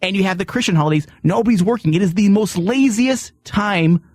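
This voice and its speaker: A man's voice: high-pitched, fairly quick, a little mellow, dry recording. A man hosting a casual comedic radio chat, blunt and self-deprecating in his joking. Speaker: show host. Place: radio studio.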